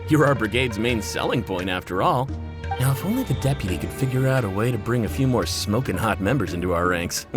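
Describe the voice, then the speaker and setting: Actor playing lively, cheeky male mercenary. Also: on the low side, brisk, over music, lightly acted. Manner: casual, teasing, expressive. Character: cheeky, jovial male mercenary, teasing and brash. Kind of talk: video game character acting.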